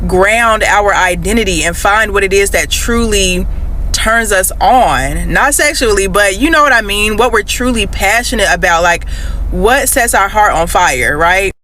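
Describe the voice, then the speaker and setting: A man gives an encouraging motivational talk in a preacher-like way. His voice is high and bright, with faint noise in the background. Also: lively intonation, measured pace.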